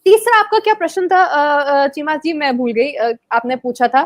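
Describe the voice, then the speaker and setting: A woman from Kashmir, a debate panelist, making her case with forceful rhetorical questions and hesitant fillers. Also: high-pitched, moderate pace, no echo, clean studio sound.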